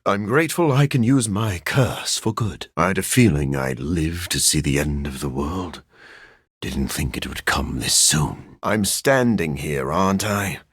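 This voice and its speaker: A man voicing a brooding, dry-witted vampire scientist in a video game, speaking formally with a gravelly, husky voice and a melancholy edge. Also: sits low, lively intonation, measured pace, fairly bright tone, no echo, exaggerated voice. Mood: weary, sincere. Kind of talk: video game character voice lines.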